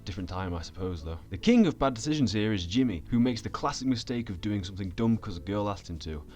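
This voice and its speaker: Male essayist, lowish voice, sardonic and sweary, scripted delivery with light music behind. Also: quick pace. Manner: deadpan, sweary. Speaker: video essayist. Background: background music.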